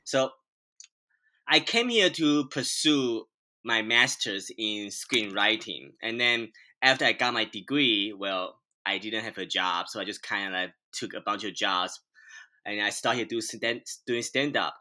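A man's voice: fairly low pitch, measured pace, sharp tone. A man from Taiwan, a podcast guest who talks playfully and laughs often. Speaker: guest, film director and comedian. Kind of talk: casual podcast interview.

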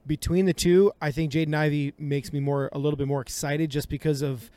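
A man's voice: a little high, level tone, a little fast, dark tone, no room sound. Talkative analytical male co-host. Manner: talkative, analytical, laughs easily. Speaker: podcast co-host. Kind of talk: casual sports analysis.

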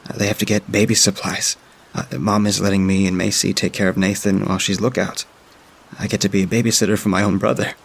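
A man narrating as a frightened young child keeping a diary, speaking haltingly with frequent filler sounds. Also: low-pitched, vocal fry, a bit bright, slightly rough, full character voice. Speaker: storyteller. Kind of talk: first-person diary reading.

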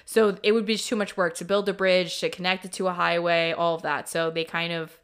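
A woman's voice: fairly low pitch, level tone, brisk, a bit bright, clean tone. A woman podcast co-host, chatting casually about history with colloquial, opinionated asides. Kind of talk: casual conversational history chat.

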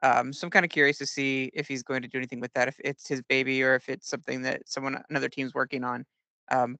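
Gravelly, bright-voiced male podcaster. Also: medium pitch, dry recording. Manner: chatty, lots of ums. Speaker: podcast co-host. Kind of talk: casual chat.

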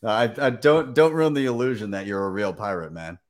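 A man's voice: fairly low pitch, animated, brisk. A man hosting a casual live-stream chat, laid-back and joking, swearing casually. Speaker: podcast host.

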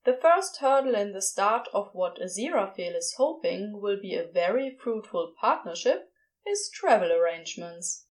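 A woman narrates scripted fantasy fiction. Her voice sits on the high side. Her intonation swings widely and keeps the reading animated.

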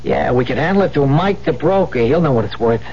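An actor playing a cocky, greedy, bullying street crook in a 1970s radio drama, sneering and slangy. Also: male voice, medium pitch, lively intonation, fast talker, a little mellow, slightly rough. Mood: scheming, greedy. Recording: muffled, noisy 1970s radio recording.

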